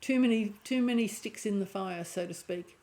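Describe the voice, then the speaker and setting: A woman with a croaky voice and a lingering cough giving an intuitive tarot reading, thinking aloud and often restarting her phrases. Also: medium pitch, normal speed, darkish tone. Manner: musing aloud, restarting phrases, clearing throat. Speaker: tarot reader.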